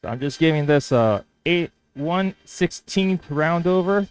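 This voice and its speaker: A man's voice: fairly high pitch, expressive, a little slow, clear, resonant tone. A man whose first language is Spanish, casually thinking aloud as he works on a woodworking build. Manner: thinking aloud, hesitant restarts. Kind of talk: casual workshop vlog narration.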